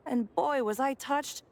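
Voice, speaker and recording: An actress playing a chatty, nosy but kindly neighbourhood mother in a video game, rambling and theatrical. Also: high-pitched. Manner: gossipy, rambling, theatrical sighs. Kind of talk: video game dialogue acting.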